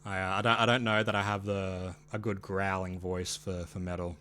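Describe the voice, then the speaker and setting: A man streaming live, chatting casually with his viewers, hesitant with many fillers and restarts, speaking into a dynamic mic on a boom arm at home. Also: level tone, moderate pace, pushed and bright, slight background noise.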